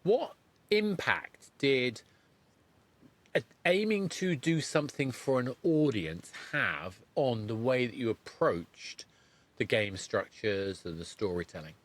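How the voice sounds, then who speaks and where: A man's voice: mid-range voice, very animated, slow pace. A man hosting a podcast, interviewing conversationally and thinking aloud in a discursive way with frequent restarts. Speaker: podcast host interviewing a guest.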